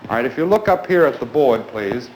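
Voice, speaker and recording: A man teaching a class in a formal, polite, deliberate way, putting questions to his pupils. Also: medium pitch, expressive, darkish tone. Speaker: schoolteacher leading a grammar class. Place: indoors in a school classroom. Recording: echoey classroom recording with clear background noise.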